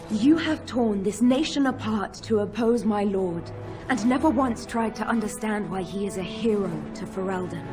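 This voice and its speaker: A woman's voice: medium pitch, close to an everyday voice. An actress playing a stern, loyal female knight in a video game, grave and resolute. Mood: scornful, defiant. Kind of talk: video game cutscene acting.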